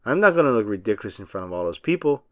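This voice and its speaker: A man drops his voice for a dim cartoon starfish, slow and stubborn. The sound is dry and close. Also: lowish voice, very animated, a bit bright, no echo, pitched below the performer's own voice.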